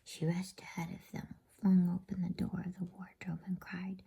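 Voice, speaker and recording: A woman ASMR host in a gentle, unhurried, breathy whisper, reading and chatting for a bedtime story session. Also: lowish voice, completely flat delivery.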